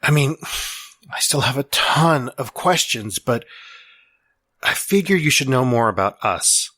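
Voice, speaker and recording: A man's voice: mid-range voice, lively intonation, measured pace, bright, forceful tone, dry recording. A man playing an earnest, nerdy science lover who rambles and apologizes as he reads out his letter in an audio drama. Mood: earnest, friendly. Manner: rambling, self-interrupting, apologetic, sighing.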